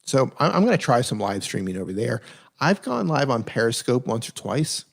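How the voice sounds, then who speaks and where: A man hosts a live question-and-answer stream in a relaxed, chatty way. He reads viewer questions aloud and answers them with direct, practical advice, often restarting his sentences as he thinks. His voice sits at a middle pitch for a man. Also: normal speed, gravelly. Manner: direct, plain-spoken advice with frequent self-corrections.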